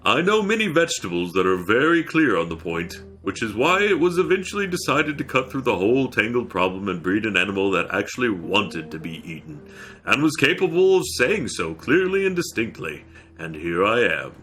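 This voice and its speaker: A man voicing a large, contented bovine creature in a storybook reading, polite and gently urging like an eager waiter. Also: lowish voice, sing-song, brisk, a little hiss or hum, voice pushed up. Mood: persuasive.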